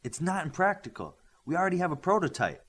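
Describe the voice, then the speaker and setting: A man's voice: on the high side, sing-song, quick pace, fairly bright tone, husky, pitched above the performer's own voice, heavily acted. A man voicing an eager young female superhero scientist in an audiobook, earnest and explanatory. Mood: excited, confident.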